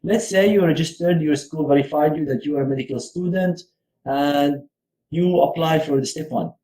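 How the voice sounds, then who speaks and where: A young man from Syria, a medical research fellow, giving advice in a relaxed talk with many fillers and restarts. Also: on the high side, moderate pace. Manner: hesitant, with frequent fillers and restarts. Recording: echoey room.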